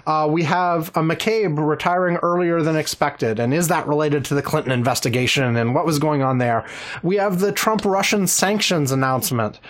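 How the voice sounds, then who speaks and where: A man's voice: on the high side, normal speed. A man hosting a podcast in casual chat, making dry jokes and steering back to the agenda. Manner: dry joking, frequent "yeah" and "anyway". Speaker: podcast host.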